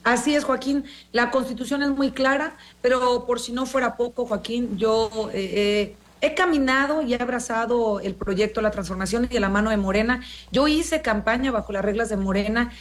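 Middle-aged woman, Mexican accent, somewhat high pitch, politician in interview, formal, emphatic, argumentative, repetitive. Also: normal speed, hardly any pauses. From born in Los Angeles, Mexican.

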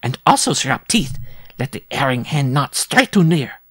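A man voicing a fierce, haughty wolf woman with a sharp tongue and quick temper, in a theatrical audiobook style. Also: medium pitch, very animated, breathy edge, no echo, clean studio sound, voice pushed up. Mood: menacing. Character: fierce, scornful wolf woman circus freak.

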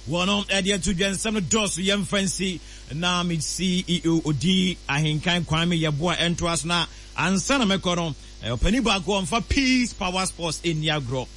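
A man presenting on radio, reeling off long lists of names in shout-outs. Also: high-pitched, moderate pace, slight background noise.

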